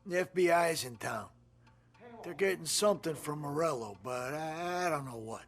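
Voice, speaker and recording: An actor playing a sly, cagey street informant in a crime game, with a wheedling manner. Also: male voice, on the high side, expressive, moderate pace, faint music behind. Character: sly, cagey male street informant haggling over secrets. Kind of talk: cinematic crime game acting.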